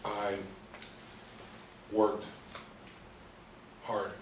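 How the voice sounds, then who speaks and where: An actor playing a subdued, guilt-ridden man recovering from a coma, with halting, effortful speech in a stage play reading. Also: fairly low pitch, monotone. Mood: labored, guilty. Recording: roomy echo, distant mic, faint noise.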